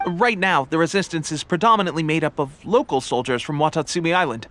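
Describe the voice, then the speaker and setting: A man's voice: on the high side, fast talker, a bit bright, slight background noise, lightly acted. A man playing a friendly young soldier in a video game, talking in an eager, chatty and earnest way. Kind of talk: video game dialogue acting.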